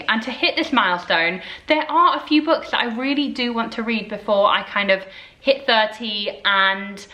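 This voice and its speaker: Bright, chatty woman in her twenties. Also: medium pitch. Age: 20s. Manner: chatty and rambling, with lots of "like" and "you know". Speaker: book vlogger. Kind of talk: casual chat to camera. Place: indoors at home, in front of bookshelves. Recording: echoey room.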